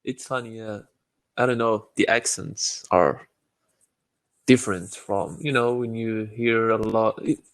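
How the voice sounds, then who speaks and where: A man's voice: on the low side, fairly even intonation, unhurried, no room sound. A man from Morocco with a Moroccan accent, chatting casually in English as a learner, a little hesitant as he searches for words. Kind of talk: casual chat.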